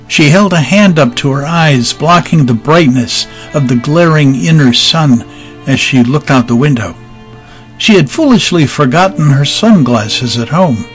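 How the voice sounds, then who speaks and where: A man giving scripted dramatic narration as the narrator of an audio story. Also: fairly high pitch. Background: faint music.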